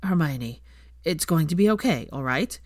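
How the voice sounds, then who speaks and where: A woman voicing an earnest, protective young man who speaks gently and haltingly as a concerned friend. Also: low-pitched, expressive, dry recording, studio quality. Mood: reassuring.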